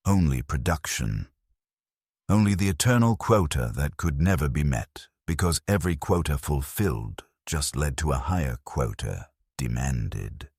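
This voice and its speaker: Super deep husky guy narrating a grim story, somber and measured, dry close sound. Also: no room sound. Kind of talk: first-person dramatic scripted narration.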